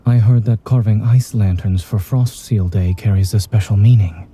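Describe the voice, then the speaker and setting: A reserved doctor from a romance game, low, very mellow and measured, the voice guiding your hand through a delicate task. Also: male voice, slightly rough. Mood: gentle, instructive. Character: reserved, composed male doctor, gently teasing companion. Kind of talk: video game voice acting. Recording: music under the voice.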